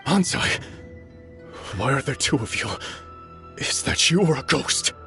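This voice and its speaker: An actor playing a broken, guilt-ridden old swordmaster in a game, speaking in an anguished, pleading way. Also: male voice, mid-range voice, animated, unhurried, vocal fry, music in the background.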